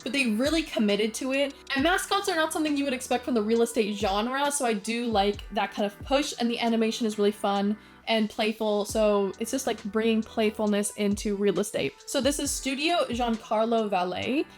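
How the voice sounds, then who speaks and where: Young female design vlogger, chatty and self-deprecating, slightly high voice, soft music bed. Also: fairly quick, hardly any pauses. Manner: chatty, many fillers, self-deprecating asides. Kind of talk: casual commentary on brand design.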